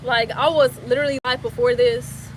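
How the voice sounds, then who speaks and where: Young woman, early twenties, slangy and repetitive chatter, slightly high voice, phone mic with faint street noise. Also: fairly even intonation, normal speed, poor audio. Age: 20s. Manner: chatty, repeats phrases, slangy. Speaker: livestreamer. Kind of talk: casual live chat with viewers.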